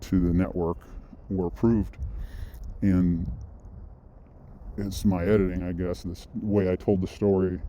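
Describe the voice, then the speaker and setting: Deep, dark-voiced man telling a story. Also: little pitch movement, unhurried, muffled recording, a little hiss or hum. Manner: rambling, with frequent restarts and asides. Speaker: vlogger. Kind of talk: casual storytelling monologue.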